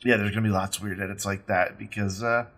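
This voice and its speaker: A man with a rough, raspy voice from being sick, talking casually as he plays a game, rambling with ums and blunt asides. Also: on the low side, steady tone, quick pace, a bit bright. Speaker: let's play host. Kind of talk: casual gameplay commentary.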